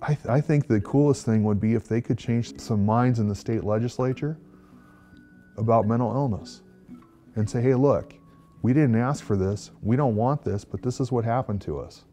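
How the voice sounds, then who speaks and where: A man's voice: medium pitch, normal speed, mellow, faint music behind. A man speaking informally and conversationally in a documentary interview.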